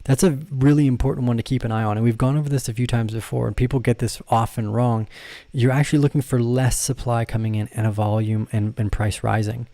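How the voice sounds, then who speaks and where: A man's voice: medium pitch, steady tone, fast, warm tone, no room sound. A man hosting a casual livestream, talking through trading charts and reading viewers' comments in a rambling, self-correcting way with frequent laughs. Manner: addresses viewers and reads their comments. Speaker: crypto trading channel host.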